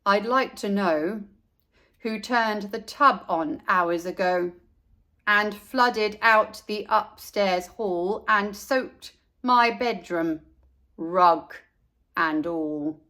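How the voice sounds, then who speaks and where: A woman voicing a calm but stern father in a children's storybook, measured and controlled. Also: medium pitch, voice pushed down. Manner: measured, deliberate. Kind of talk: rhyming storybook character.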